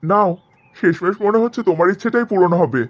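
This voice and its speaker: Man acting exasperated, high-voiced cartoon husband. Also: fairly quick, highs cut off. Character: exasperated, sarcastic middle-aged husband and father. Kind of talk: Bengali cartoon family drama acting.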